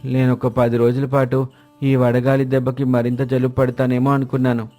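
A man's voice: mid-range voice, completely flat delivery, moderate pace, dark tone, faint music behind. A man reading scripted dialogue for village characters in a moral story. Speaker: story voice actor.

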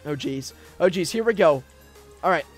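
Young american guy doing game roleplay commentary, voice jumps all over, chatty n excitable, bit of music behind. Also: a little high, normal speed. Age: young adult. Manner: excitable, chatty, addressing viewers directly. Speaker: gaming video host. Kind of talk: casual roleplay gameplay commentary. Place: indoor room gaming setup with webcam.

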